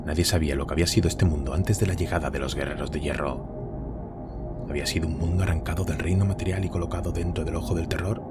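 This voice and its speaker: Deep voiced guy narrating a grim war story, steady n grave, big music under. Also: fast. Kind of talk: dramatic scripted narration. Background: very loud music.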